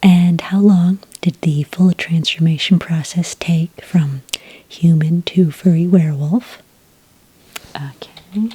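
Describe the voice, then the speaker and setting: A woman speaking in a whispery, breathy soft voice, calm and methodical, as an agent in an ASMR roleplay, close to the microphone. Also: fairly low pitch. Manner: calm, methodical questioning with gentle pauses. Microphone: close microphone in a dry room.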